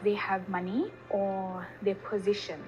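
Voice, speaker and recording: A woman from South Africa recounting her story in a recorded interview, speaking softly and shyly. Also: medium pitch, flat delivery, measured pace, muffled recording, soft background music.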